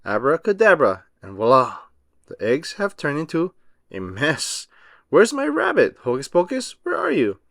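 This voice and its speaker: A greedy, bumbling storybook magician, put on by a man reading aloud. He is theatrical and exclamatory, with a raised pitch that swoops up and down. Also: huge pitch swings, measured pace, gravelly, fairly bright tone, dry recording, clean studio sound, full character voice. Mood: showy, flustered. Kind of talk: children's storybook read-aloud.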